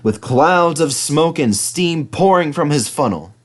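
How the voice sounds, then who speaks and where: A man narrating a children's storybook in an animated, expressive reading style. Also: a little high, a little slow.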